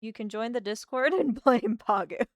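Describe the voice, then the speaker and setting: A woman with a slightly hoarse voice from a sore throat, chatting casually and thinking aloud while she draws on a livestream. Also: a little high, moderate pace, no room sound, clean studio sound. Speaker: art streamer.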